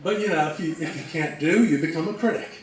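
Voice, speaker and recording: A man presenting an informal history talk in a deliberate, pausing way, from a distance in a very echoey room. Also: on the high side.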